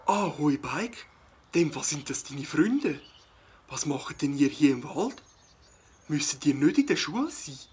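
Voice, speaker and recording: A man's voice: on the high side, sing-song, measured pace, darkish tone, slight background noise. A man playing a friendly, earnest ornithologist in a children's audio play, explaining patiently with a Swiss accent.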